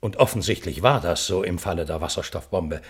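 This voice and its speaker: A man playing a courteous, searching chairman of an inquiry in a German radio play, formal and deliberate. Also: low voice, husky. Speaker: chairman of the hearing.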